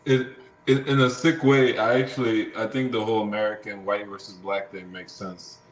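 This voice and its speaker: A man's voice: on the low side, level tone, normal speed, reverberant, rough recording. A man on a livestream panel, speaking in a reflective, questioning way. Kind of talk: casual panel discussion.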